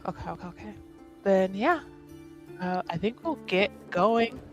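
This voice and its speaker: A woman's voice: medium pitch, animated, a little slow, faint music behind. A woman playing a tabletop roleplaying game, chatting and narrating casually in an informal, jokey way and often laughing.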